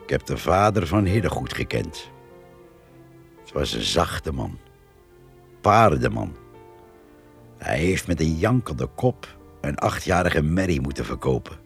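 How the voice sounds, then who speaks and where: An actor playing a grave old countryman who reminisces slowly and with resignation in a radio play. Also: a bass voice, sing-song, creaky, slightly rough, faint music behind, exaggerated voice. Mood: wistful, resigned. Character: grave old countryman recalling his neighbour with slow melancholy. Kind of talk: Dutch radio play monologue.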